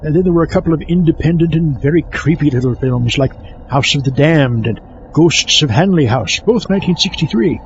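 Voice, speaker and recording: A man's voice: fairly high pitch, animated, brisk, highs cut off, a little hiss or hum. A man playing a pompous, easily exasperated horror film scholar, lecturing in a comic scripted monologue.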